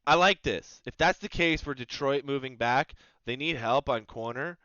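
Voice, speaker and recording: A man hosting his own sports video, reading mock draft picks aloud and reacting to them in casual, animated commentary. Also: medium pitch, no room sound, dull audio. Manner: animated, reads passages aloud then reacts with quick asides.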